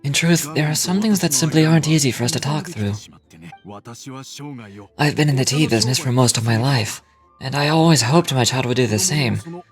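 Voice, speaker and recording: A man's voice: medium pitch, a little fast, faint music behind, natural voice. A man playing a worried, stubborn middle-aged father and tea merchant in video game dialogue, speaking earnestly and wistfully. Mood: anxious, regretful.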